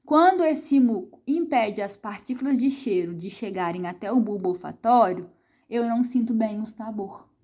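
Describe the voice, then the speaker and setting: A woman's voice: fairly high pitch, animated, moderate pace, dark tone. Chatty Brazilian woman teaching kids. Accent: Brazilian Portuguese. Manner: chatty, asks and answers own questions; frequent tag questions to the viewer. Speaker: science teacher. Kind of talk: online science lesson for children.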